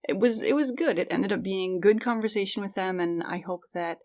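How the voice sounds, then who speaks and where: A woman's voice: mid-range voice, a little fast, a little mellow. A middle-aged woman co-hosting a podcast, talking in a casual, candid and reflective conversational way. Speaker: podcast co-host.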